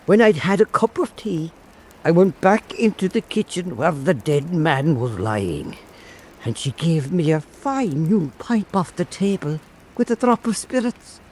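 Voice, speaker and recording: A man playing an old Irish island storyteller, telling an eerie fireside tale in a deliberate, theatrical way for radio drama. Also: on the high side, lively intonation, darkish tone, a little hiss or hum. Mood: eerie, measured.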